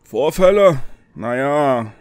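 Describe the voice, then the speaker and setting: A man voicing an older town gate guard in a fantasy tabletop game, affable but evasive, with a drawn-out, folksy way of talking. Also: mid-range voice, animated, darkish tone, dry recording, soft background music, voice pushed up. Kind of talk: tabletop role-play in German.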